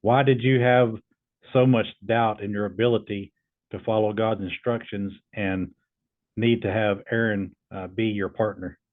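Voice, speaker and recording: A man's voice: on the low side, fairly even intonation, a little slow, phone-quality sound. A man hosting a casual demo, talking informally and hesitantly as he tests a voice assistant. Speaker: video host testing a voice assistant.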